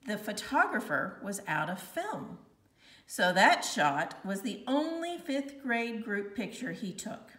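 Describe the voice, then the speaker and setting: Bright-voiced woman reading a story aloud, roomy. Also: medium pitch, very animated, takes it slowly. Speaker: narrator reading a children's novel aloud. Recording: roomy, slightly distant home recording.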